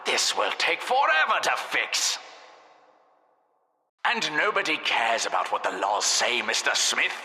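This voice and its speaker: An actor playing a gleefully sadistic, petty scientist narrating a video game test, theatrical and sarcastic, over a reverberant announcer microphone. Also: male voice, mid-range voice, measured pace, long pauses, exaggerated voice. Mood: frantic, indignant. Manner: condescending, deadpan sarcasm breaking into indignant outbursts. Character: gleefully sadistic, petty mad male scientist supervising tests. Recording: echoey hall with long reverb.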